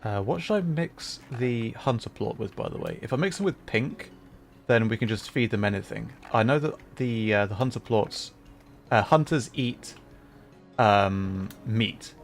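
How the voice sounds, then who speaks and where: A man's voice: on the low side, lively intonation, a little slow, vocal fry. Easygoing male streamer over faint music. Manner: easygoing, thinking aloud. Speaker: livestreamer. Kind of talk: casual game stream commentary.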